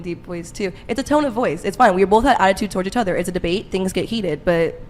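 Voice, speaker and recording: A woman's voice: medium pitch, quick pace, fairly bright tone, a little hiss or hum. A woman arguing on a podcast, defensive and sarcastic, swearing now and then. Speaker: podcast guest. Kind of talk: heated debate.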